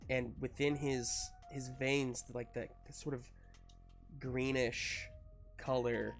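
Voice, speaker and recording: A game master improvising the narration of a tabletop game in a halting, searching way. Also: medium pitch, little pitch movement, soft background music.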